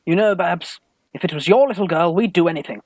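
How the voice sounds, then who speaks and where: A man with a British accent playing an anxious young Victorian gentleman in a radio farce, worried and earnest. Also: sits high, fairly quick, no echo. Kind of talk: Victorian stage farce, radio play acting.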